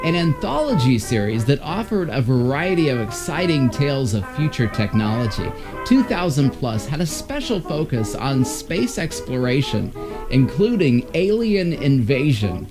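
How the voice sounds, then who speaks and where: A man's voice: medium pitch, big pitch swings, moderate pace. A man hosting with scripted narration about vintage radio. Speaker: host. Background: music.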